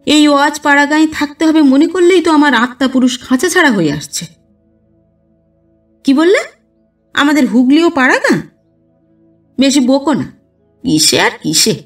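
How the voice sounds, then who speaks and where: A woman's voice: high-pitched, animated, normal speed, pauses often, distant mic, soft background music, pitched above the performer's own voice. A woman voicing a haughty, complaining young bride in an audio story, with an indignant, scornful manner. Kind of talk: dramatized audio story dialogue.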